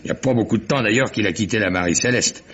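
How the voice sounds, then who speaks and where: A gossipy old sea captain, with a husky, lowish voice. He rambles jovially and colloquially like a born yarn-spinner. It sounds like a muffled vintage radio drama. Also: rapid-fire, fairly bright tone. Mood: gossipy relish. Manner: colloquial, rambling storytelling punctuated by chuckles. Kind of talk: French radio mystery drama acting.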